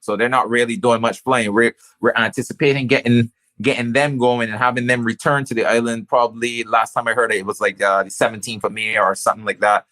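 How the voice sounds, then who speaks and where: A man's voice: mid-range voice, steady tone, fairly quick, few pauses, sharp tone. A man with a Barbadian accent, a business owner talking casually and stressing his points by repeating phrases. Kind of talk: casual conversation.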